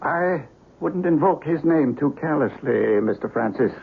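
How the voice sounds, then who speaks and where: An actor playing a learned doctor who investigates the occult, speaking precisely and formally with ominous weight in a radio drama. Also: male voice, on the high side, animated, normal speed, gravelly. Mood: scholarly, grave. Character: erudite, grave male occult investigator and doctor. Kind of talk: 1970s radio drama acting. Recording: dull, noisy old radio broadcast.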